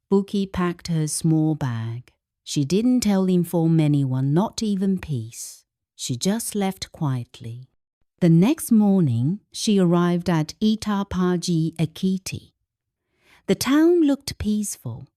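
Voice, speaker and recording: A woman with a Nigerian accent narrating a scripted folktale as a storyteller, measured and suspenseful. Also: on the low side, big pitch swings, warm tone, no echo, studio quality.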